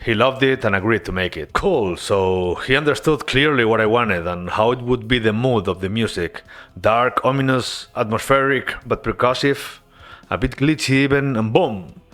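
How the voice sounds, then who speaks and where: A man narrating his game-development devlog as a voice-over, earnest and relaxed, with casual asides. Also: fairly low pitch, moderate pace.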